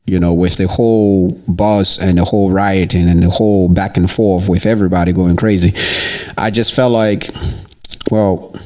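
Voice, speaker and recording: A man with a very low voice hosts a podcast and chats easily with his guest. He is from the Ivory Coast and keeps up a relaxed, conversational flow, dropping in agreeing murmurs as she talks. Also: moderate pace. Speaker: podcast host. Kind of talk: casual interview.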